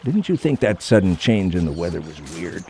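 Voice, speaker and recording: A man's voice: sits low, very animated, brisk, warm tone. A man playing an uneasy, jumpy deer hunter in a radio play, with a defensive way of talking. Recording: noisy sound-effect bed behind voice.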